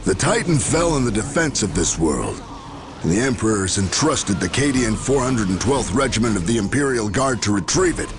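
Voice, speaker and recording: Stern, commanding general, wide-swinging delivery. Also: male voice, fairly low pitch, sing-song, moderate pace. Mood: grim, resolute. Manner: grave military oratory, commanding. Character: stern battle-hardened male imperial general, resolute, commanding. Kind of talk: video game cutscene acting. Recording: music behind the voice.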